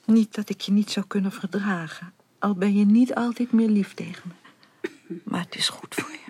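An actress playing a gentle, sorrowful older motherly lady in a period radio drama, speaking softly and tenderly. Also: medium pitch, steady tone, dry recording. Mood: sad, forgiving. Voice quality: husky, breathy. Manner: soft, tender, deliberate. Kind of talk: Dutch radio play acting.

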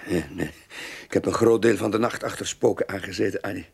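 An actor playing a practical, sceptical police sergeant in a radio drama, speaking matter-of-factly. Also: male voice, low-pitched, fairly even intonation, brisk, gravelly, husky, heavily acted. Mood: weary, matter-of-fact. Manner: matter-of-fact, reassuring. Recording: faint background noise.

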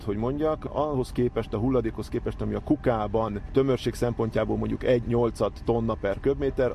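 A man's voice: fairly low pitch, vocal fry, dark tone, slight background noise. A man working as an operations manager, giving methodical, technical explanations in an interview.

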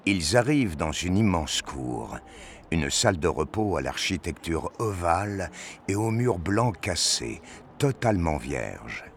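A man's voice: sits low, sing-song, unhurried, a little hiss or hum. A man narrating a scripted science fiction audio drama in the manner of a storyteller.

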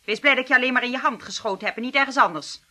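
A woman's voice: a little high, racing, pushed and bright. An actress playing a cool, mocking armed woman seizing power in a radio drama, sardonic and commanding.

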